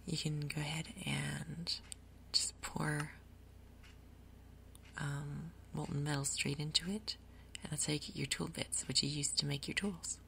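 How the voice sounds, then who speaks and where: A woman hosting a gaming video in a soft, breathy ASMR whisper, explaining things gently and without hurry. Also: sits low, steady tone, a little slow, slight background noise. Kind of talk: whispered ASMR game commentary.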